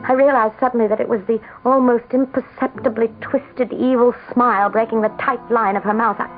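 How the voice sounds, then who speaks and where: An actress playing a tormented, frightened governor's wife in a radio drama, dramatic and halting in her delivery. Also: a little high, lightly acted. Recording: dull lo-fi radio drama with loud music.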